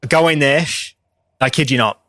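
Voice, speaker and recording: Australian man, mid-pitched, understated podcast guest in casual chat, dry recording. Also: moderate pace, fairly bright tone, no room sound. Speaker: podcast guest, competition barista.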